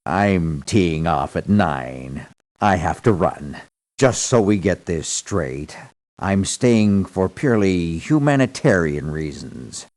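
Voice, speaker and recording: An actor playing a self-important, stern mercenary doctor in a video game, speaking in a haughty, formal, deliberate way. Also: male voice, sits low, lively intonation, darkish tone. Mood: indignant. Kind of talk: video game character voice lines.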